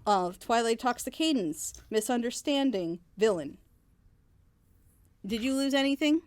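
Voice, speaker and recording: High-voiced woman giving opinionated critique. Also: expressive, measured pace, a little mellow, dry recording. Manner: emphatic, rambling explanations with rhetorical questions. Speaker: reviewer on a reaction show.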